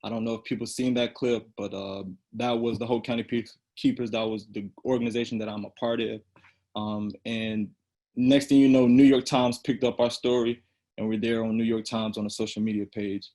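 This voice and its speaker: A man's voice: lowish voice, steady tone, brisk, dark tone. A young man, a student athlete on a panel, speaking earnestly and with emphasis. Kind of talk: roundtable discussion.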